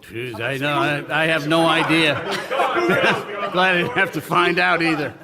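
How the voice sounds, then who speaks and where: An actor playing a jovial, teasing newspaper editor in a radio drama. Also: male voice, fairly high pitch, measured pace, gravelly, a loud crowd. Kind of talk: radio play acting.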